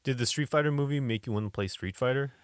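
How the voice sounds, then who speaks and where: A man's voice: medium pitch, fast talker, no echo, clean studio sound. A man co-hosting a podcast in casual, teasing chat with his partner. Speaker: podcast co-host.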